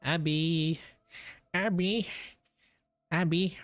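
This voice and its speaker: A man's voice: on the high side, steady tone, drawn-out words, no echo, lo-fi sound. A man doing casual, chatty live gameplay commentary, often repeating himself. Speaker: game streamer. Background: soft game music.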